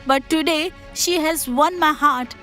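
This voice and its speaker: A woman playing a commanding mother-in-law in a moral-story cartoon, speaking with deliberate authority over music. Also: sits high, pushed and bright. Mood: stern, scolding. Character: commanding mother-in-law testing her daughters-in-law. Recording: music behind the voice.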